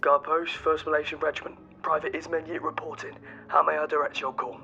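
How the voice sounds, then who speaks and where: Young affectionate male soldier, gravelly edge, lo-fi phone filter with faint noise, audio drama. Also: on the high side, flat delivery, fairly quick. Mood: dutiful, rote. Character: affectionate young soldier boyfriend on a call, gentle. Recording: dull, noisy call line.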